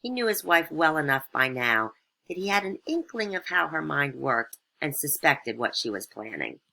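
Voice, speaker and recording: Casting: female storyteller for satirical fairytale narration, extremely low pitch, bright forceful tone. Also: sing-song, moderate pace, gravelly.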